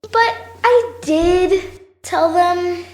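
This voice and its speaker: A woman's voice: very high voice, drawn-out words, darkish tone, no room sound, heavily acted. A female performer playing an earnest, anxious young girl in an audio drama, with hesitant, wide-eyed delivery.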